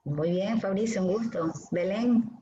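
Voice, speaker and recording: A woman from Guayaquil, a lecturer briskly calling the roll in an online class over a video call. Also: mid-range voice, warm tone. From Guayaquil, Ecuador. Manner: brisk, friendly, calling names. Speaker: dermatology lecturer. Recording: echoey dull video-call audio with faint hiss.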